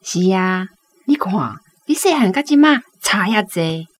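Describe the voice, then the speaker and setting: 台灣腔老一輩阿母，得意閣慈愛. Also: female voice, medium pitch, sing-song, unhurried, over music. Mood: fond, proud. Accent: Taiwanese. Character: proud older Taiwanese mother recalling her pretty youth. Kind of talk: Taiwanese radio drama acting.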